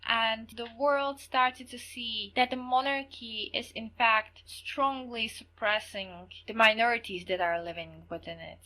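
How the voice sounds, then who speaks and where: A woman's voice: fairly high pitch, sharp tone, rough recording. A young woman with a Slovak accent, a non-native English speaker, giving an online history lecture and recapping key points for students with casual fillers.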